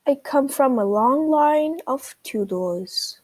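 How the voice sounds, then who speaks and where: A woman's voice: high voice, animated, slow pace, darkish tone, pitched above the performer's own voice. A woman voicing a grand, self-satisfied elephant with a pompous manner in a children's storybook. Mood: proud.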